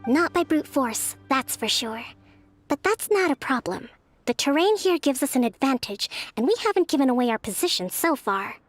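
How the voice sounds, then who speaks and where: A woman voicing a calm, clever young military strategist in a video game, speaking in a measured, articulate way. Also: high-pitched, no echo. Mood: calm, analytical. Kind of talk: scripted video game dialogue. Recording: background music under the voice.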